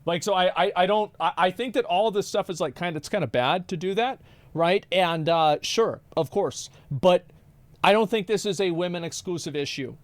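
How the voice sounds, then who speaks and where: Streamer guy ranting over a video, swears a lot, trips over his words, kinda high voice, dry sound. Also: moderate pace, dry recording. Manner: rambling, profane, stammers and repeats words. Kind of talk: casual live-stream commentary.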